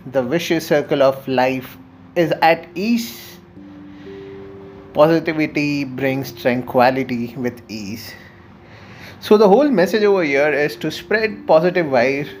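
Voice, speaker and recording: A man from India with an Indian accent, a poet giving a friendly, chatty introduction and reading a short poem. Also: on the high side. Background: soft music.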